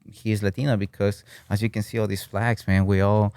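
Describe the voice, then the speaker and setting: Guy in his 30s from peru, real low smooth voice, laid-back chatty interview guest, lots of "you know". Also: a little fast, no echo. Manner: relaxed and talkative, many "you know" and "right?", slips into Spanish. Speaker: guest, bartending business owner. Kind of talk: casual interview chat. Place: podcast studio with flags on the wall.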